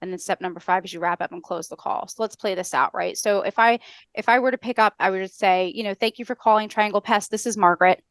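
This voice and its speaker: A middle-aged American woman on a headset, explaining step by step as a podcast guest in a brisk, structured, instructional way. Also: medium pitch, steady tone, clear, resonant tone, no echo. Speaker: podcast guest, customer service trainer. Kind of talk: explanatory interview talk with acted-out call examples. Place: indoor room on a video call.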